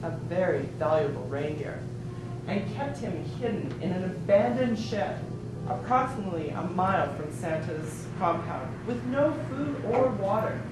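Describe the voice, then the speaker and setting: A woman playing a formal, deliberate prosecutor in an amateur stage courtroom play. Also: medium pitch, lightly acted. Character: stern woman prosecutor in a mock Christmas trial. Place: echoey room at a club meeting. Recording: echoey room from far off, noisy.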